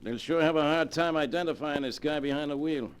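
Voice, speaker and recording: A man's voice: a little high, a little fast, smooth, natural voice. An actor playing a matter-of-fact policeman in a 1940s radio crime drama. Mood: surprised, grim. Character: matter-of-fact male policeman inspecting a crash.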